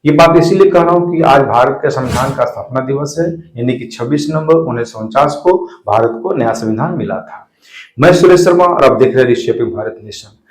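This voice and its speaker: A man presents an explainer to viewers in an emphatic, didactic way, addressing them directly. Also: a little high. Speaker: video presenter. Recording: echoey room.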